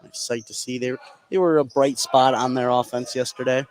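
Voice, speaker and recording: A man's voice: mid-range voice, fairly even intonation, moderate pace. A man working as color commentator, giving conversational analysis during a live basketball game. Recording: noisy live gym broadcast.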